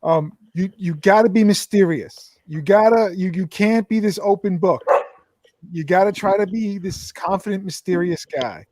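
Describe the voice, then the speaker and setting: High-voiced blunt man on a panel. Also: moderate pace, a little mellow. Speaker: panel guest.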